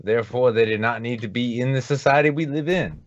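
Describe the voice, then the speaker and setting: A man co-hosting a podcast, talking in a mocking, animated way and laughing often. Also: medium pitch, fairly quick, muffled recording. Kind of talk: casual podcast chat.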